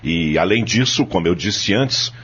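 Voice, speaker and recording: A man's voice: low voice, expressive, unhurried, a bit bright, breathy edge, exaggerated voice. A man with a Brazilian accent playing a hard, self-assured coffee farmer who answers formally and assertively in court in an audio drama. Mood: accusing, assertive. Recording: dull, lo-fi audio.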